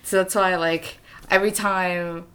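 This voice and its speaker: Young female co-host, low register. Casual, filler-heavy talk that acts out quoted reactions. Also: fairly even intonation, a little slow, a bit bright, clean tone. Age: young adult. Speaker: podcast co-host. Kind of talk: casual chat.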